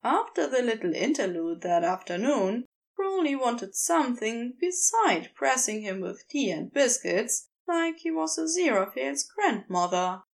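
A woman's voice: fairly high pitch, big pitch swings, unhurried, creaky, few pauses. A woman reading a scripted piece of fiction as its narrator.

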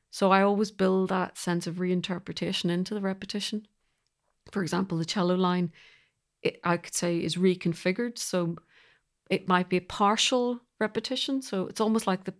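A woman with a Northern Irish accent talking reflectively in an interview, thoughtfully searching for words in short phrases. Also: fairly low pitch. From Northern Ireland. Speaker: composer being interviewed.

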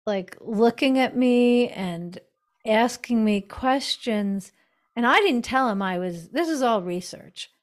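A middle-aged woman author, a guest in a podcast interview, speaking in a slow, reflective, unhurried way. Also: fairly high pitch, expressive.

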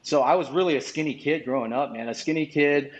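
A man's voice: a little high, fast talker. Man telling his story in a roomy room. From Vietnam. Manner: rambling with frequent restarts and fillers. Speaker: podcast guest. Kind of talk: casual storytelling. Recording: roomy, dull lo-fi sound.

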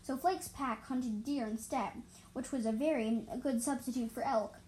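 A woman's voice: a little high, moderate pace, darkish tone, a little hiss or hum, poor audio. A woman reading animal stories as an amateur storyteller, stumbling over and repeating words and adding explanatory asides.

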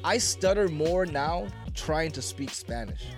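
A man's voice: fairly high pitch, animated, unhurried, over music. A man who stutters and repeats words, chatting casually and cursing freely on a podcast.

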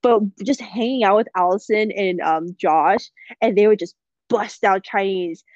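A young woman, a podcast guest, telling lively stories in casual chat and laughing as she goes. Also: mid-range voice, a little slow, no room sound, highs cut off. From United States. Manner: lively storyteller, laughing through her anecdotes.

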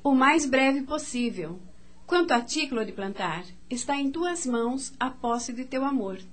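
A woman's voice: high-pitched, animated, a little slow. An actress playing a stern, controlling, devout middle-aged French mother in a radio drama, speaking formally and with authority. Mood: stern, commanding.

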